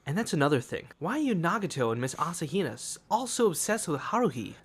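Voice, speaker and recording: A man's voice: fairly high pitch, very animated, quick pace, breathy edge. A man voicing a skeptical, exasperated, sarcastic teenage schoolboy in an amateur anime fan dub, grumbling and questioning. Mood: exasperated, doubting. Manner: dry, grumbling, doubting, questioning. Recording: loud music under the voice.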